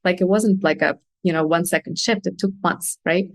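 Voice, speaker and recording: A woman's voice: on the low side, moderate pace, dry recording. A woman, explaining things earnestly and candidly in a conversational interview as a guest coach. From Russia. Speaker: podcast guest, consultant and coach.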